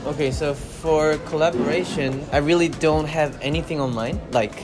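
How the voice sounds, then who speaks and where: A man in his twenties with a thin, light voice, a guest in a casual interview. He talks in a soft, relaxed way. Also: a little high, moderate pace, over music. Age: 20s. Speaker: interview guest, music producer. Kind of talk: casual conversation in English.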